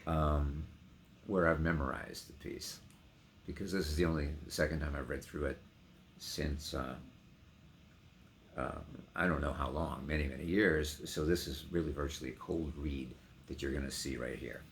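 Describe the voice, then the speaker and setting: A man's voice: very deep voice, steady tone, normal speed, vocal fry, slight background noise. A middle-aged man giving a casual personal introduction to a reading, with emotive, theatrical emphasis. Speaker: poetry reader and trained actor.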